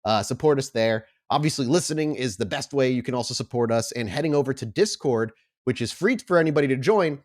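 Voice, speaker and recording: A man's voice: mid-range voice, lively intonation, fast, darkish tone. A man hosting a tabletop role-playing podcast as storyteller, speaking in an informal, chatty way. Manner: informal, chatty, swears casually. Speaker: podcast host and game storyteller. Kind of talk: casual podcast intro, then improvised tabletop game narration.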